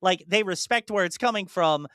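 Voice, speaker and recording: A podcast host chatting casually in an animated, rambling way, slipping into imagined dialogue. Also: medium pitch, normal speed, dry recording, clean studio sound. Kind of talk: casual chat, enthusiastic monologue about films.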